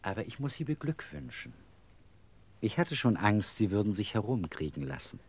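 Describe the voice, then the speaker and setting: A husky, low-pitched man as a nosy stranger in a German radio drama. His delivery swings up and down, courteous yet slyly insinuating. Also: very animated, normal speed, darkish tone, heavily acted. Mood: sly, polite. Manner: courteous, insinuating, hesitant openings. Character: intrusive male stranger at night station, polite, slyly chatty. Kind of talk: German radio play acting.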